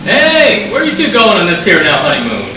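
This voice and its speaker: A man playing a brash, chummy American cab driver, folksy and excitable, in 1940s radio style. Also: high voice, fairly quick. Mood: chummy teasing. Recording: distant echoey stage, loud noise.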